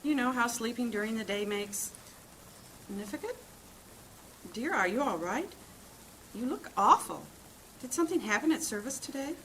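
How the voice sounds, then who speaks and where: A woman acting a caring, worried wife and mother in a fantasy audio drama, motherly, fussing and expressive. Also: a little high, moderate pace, long pauses, a little hiss or hum, low-quality recording. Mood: concerned. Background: music.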